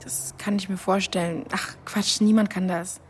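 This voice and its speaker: A woman's voice: medium pitch, fairly even intonation, normal speed, slight background noise, lightly acted. An actress playing a curt, guarded young woman in mourning in a radio drama. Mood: guarded, subdued.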